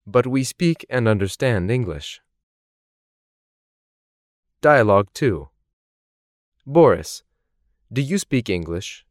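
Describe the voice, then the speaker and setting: A man reading scripted English course dialogues in a slow, clearly articulated, measured way. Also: medium pitch, animated, no echo, studio quality. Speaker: language course voice actor.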